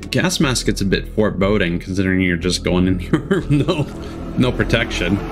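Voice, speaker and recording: A man's voice: deep voice, expressive, normal speed. A man streaming casual live commentary as he reacts to a games showcase. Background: game trailer music.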